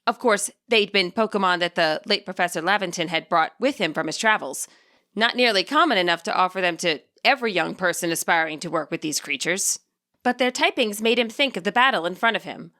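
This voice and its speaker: A woman reading a fan fiction story aloud as scripted, expressive narration. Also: on the low side, a little fast.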